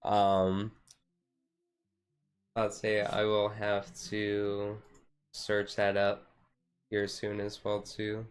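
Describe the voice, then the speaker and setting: A man's voice: on the low side, monotone, very slow, pauses often, clear, resonant tone. A man streaming a casual, laid-back ASMR chat in a rambling way, with music behind him.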